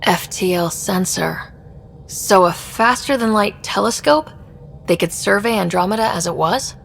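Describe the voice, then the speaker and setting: A woman's voice: medium pitch, animated, measured pace, gravelly, a bit bright, slight background noise. A woman playing an inquisitive young space explorer in a video game, speaking in a direct, casual, questioning way. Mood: curious. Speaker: player protagonist. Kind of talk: scripted video game dialogue.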